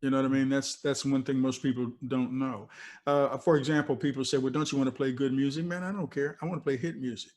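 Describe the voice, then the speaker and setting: A man's voice: mid-range voice, fairly even intonation, fast, a little mellow. Older guy, old radio dj, regular mid voice, just chatting and reminiscing in an interview, real informal. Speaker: veteran radio DJ being interviewed. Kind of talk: casual conversational reminiscing. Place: video call from a cluttered room.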